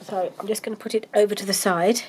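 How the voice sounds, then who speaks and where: A woman's voice: medium pitch, fairly even intonation, fast, darkish tone, slight background noise. Congested woman chatting while crafting. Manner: chatty, rambling with many ums and restarts. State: congested with a cold, coughing. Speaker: craft vlogger. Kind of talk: casual crafting chat.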